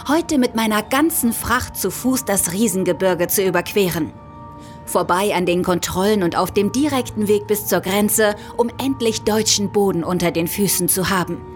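A woman's voice: mid-range voice, natural voice. A woman playing a worldly, self-assured young smuggler in a radio play, wry and guarded as she tells her story. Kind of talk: first-person radio play narration.

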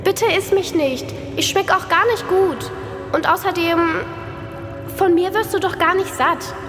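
A plucky, curious young girl in a children's radio play. Her voice is very high and cheeky. It rings with long reverb, with music playing behind. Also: measured pace. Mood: scared, indignant. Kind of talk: children's audio drama acting.

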